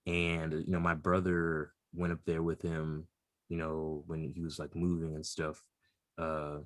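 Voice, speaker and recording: A man co-hosting a casual podcast, lively and loaded with fillers. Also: a bass voice, a little slow, no room sound, studio quality. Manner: lively, hesitant with many fillers. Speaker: podcast co-host. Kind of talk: casual chat.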